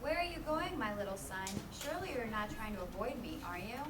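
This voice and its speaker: A woman playing a gentle, motherly holy lady in a children's puppet show, serene and reassuring. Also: medium pitch, lively intonation, a little fast, natural voice. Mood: gently reassuring. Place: live performance in a room, far mic. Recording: distant, echoey room with loud noise.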